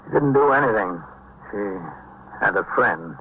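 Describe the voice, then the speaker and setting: An actor playing a calm, methodical police captain in a vintage radio drama, dry and matter-of-fact, with clipped procedural delivery. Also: male voice, fairly low pitch, normal speed. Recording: dull, noisy vintage radio recording.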